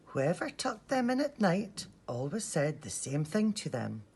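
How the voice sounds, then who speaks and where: A woman reading a bedtime story to young children in a gentle, sing-song, expressive way. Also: lowish voice, a little slow, breathy edge. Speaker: storybook reader from a school staff team.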